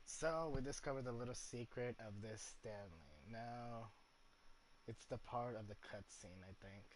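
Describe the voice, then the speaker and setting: A man doing casual gameplay commentary as a streamer, recorded at a distance with loud noise. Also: mid-range voice, measured pace. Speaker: gaming streamer.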